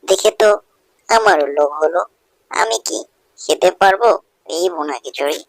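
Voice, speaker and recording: A wistful poor village girl for a cartoon story, voiced by a woman. The voice sits on the low side and sounds plaintive yet expressive. The recording is close and dry. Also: moderate pace, creaky, no room sound. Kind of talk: Bengali cartoon story dubbing.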